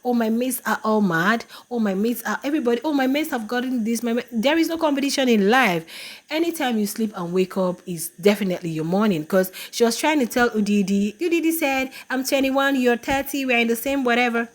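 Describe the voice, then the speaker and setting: A woman's voice: on the high side, fairly quick. A woman vlogger casually reacting to a reality show, talking to her viewers and acting out what others said in a raised voice. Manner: animated, quotes others in a raised voice.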